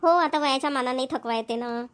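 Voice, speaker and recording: A woman playing a gentle, talkative elderly village grandmother in a cartoon, with a rural Maharashtrian accent. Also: high-pitched, a little fast, lightly acted. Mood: homely, tired. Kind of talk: cartoon family dialogue.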